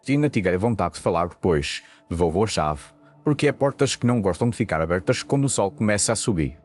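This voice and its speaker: A man's voice: deep voice, expressive, fast. A man playing a weary, cryptic old lighthouse keeper in a ghost story, with European Portuguese speech and a solemn, foreboding manner. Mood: solemn warning. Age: older. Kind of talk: audio ghost story acting.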